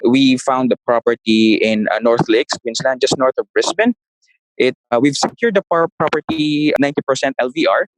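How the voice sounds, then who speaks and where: Man, 30s, casual interview guest, hunts for words, middle pitch, dry close recording. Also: normal speed, dry recording, low-quality recording. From Philippines. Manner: searches for words, frequent restarts. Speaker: guest, property investor.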